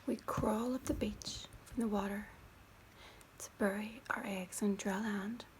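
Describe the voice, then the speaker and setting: A woman's voice: medium pitch, fairly even intonation, a little slow, slight background noise, close to an everyday voice, low-quality recording. A woman voicing sea turtles crawling up a beach, in a whispered storybook reading. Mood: calm.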